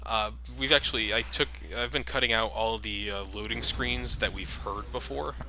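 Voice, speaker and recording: A man's voice: fairly low pitch, fairly even intonation, moderate pace, poor audio. A man giving casual, conversational gameplay commentary as he plays, rambling a little as the host of a let's play. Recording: loud game noise behind voice. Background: video game action sounds.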